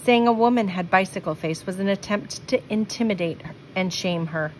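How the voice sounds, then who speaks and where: A woman reading a children's picture book aloud, with expressive, deliberate phrasing. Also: mid-range voice, a little hiss or hum, rough recording. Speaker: storybook reader.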